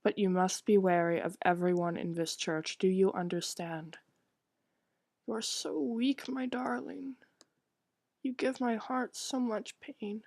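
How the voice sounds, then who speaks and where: Girl doing a creepy controlling mom for a visual novel, super quiet and breathy, sickly sweet but cruel, dry sound. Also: mid-range voice, fairly even intonation, dry recording. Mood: reproachful. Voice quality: breathy, whispery. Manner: slow, condescending, falsely tender. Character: cruel, doting, smothering ghostly mother, menacingly soft.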